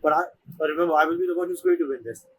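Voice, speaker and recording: A man's voice: on the high side, racing, fairly bright tone, breathy edge, low-quality recording. A man streaming a video game, giving casual, informal commentary and reacting aloud.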